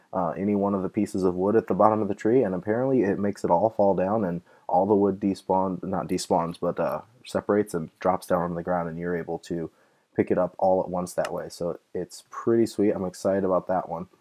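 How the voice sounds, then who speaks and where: Low-voiced man with a mellow tone, slightly sick. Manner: chatty, easily excited, thinking aloud with fillers. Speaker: gaming channel host. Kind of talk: casual let's-play commentary.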